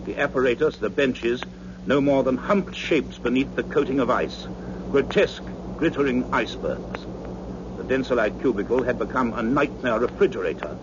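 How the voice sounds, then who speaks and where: An actor playing a grave, fatherly doctor with intense, measured storytelling in a 1960s radio drama. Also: medium pitch, close to an everyday voice. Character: grave, fatherly doctor of science narrating events. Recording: dull, noisy old radio recording.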